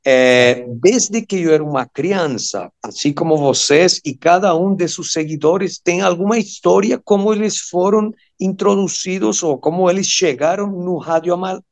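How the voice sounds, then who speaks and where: Venezuelan-accented man in his fifties, creaky voice. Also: high-pitched. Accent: Venezuelan Spanish. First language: Spanish. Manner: halting, searching for words, often checking with "ok?". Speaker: guest, surgeon and amateur radio operator. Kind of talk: personal storytelling in an interview.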